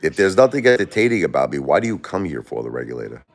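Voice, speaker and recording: A man's voice: low-pitched, big pitch swings, fast talker. A man from Brooklyn, hosting a casual livestream, blunt and repeating himself as he answers viewers and spars with critics. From Brooklyn, New York. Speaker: livestream host. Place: home.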